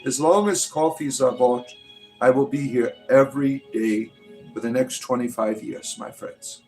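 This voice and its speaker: A man's voice: mid-range voice, measured pace, a little mellow, faint music behind, poor audio. A man in his late forties hosting a livestream, rambling loosely and reading out viewer comments, often ending with "right?". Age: 40s. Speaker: livestream host.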